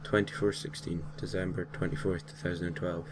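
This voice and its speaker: A man's voice: low voice, level tone, brisk. A man reading a creepy story aloud as an amateur narrator, sometimes tripping over his words and correcting himself. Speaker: host reading creepypasta stories. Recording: clearly noisy home recording.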